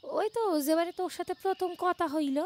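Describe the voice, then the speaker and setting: A woman's voice: very high-pitched, brisk. An actress playing a bold, teasing young village woman who talks in a colloquial rural West Bengal dialect in an audio story. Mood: wistful, frank. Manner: teasing, colloquial, scolding familiarity.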